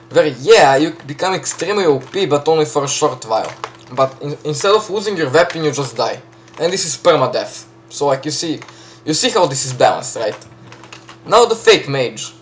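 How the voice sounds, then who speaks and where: Give me a man with a Serbian accent, slightly high voice, chatting loosely through a game walkthrough at his desk. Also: fairly bright tone, a little hiss or hum. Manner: chatty, many fillers, self-correcting asides. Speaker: map creator giving a tour. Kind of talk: casual gameplay walkthrough.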